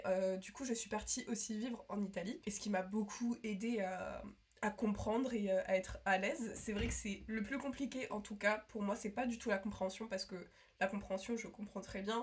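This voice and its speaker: Young woman from France, casual vlog chat, rambling, lots of ums and restarts. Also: mid-range voice. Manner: rambling, many fillers and restarts. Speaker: vlogger.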